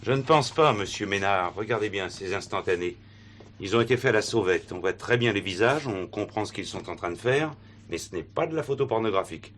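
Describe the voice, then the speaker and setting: A man's voice: lowish voice, animated, a little fast, fairly bright tone, a little hiss or hum. A man playing a matter-of-fact, slyly teasing police investigator in a French detective stage play.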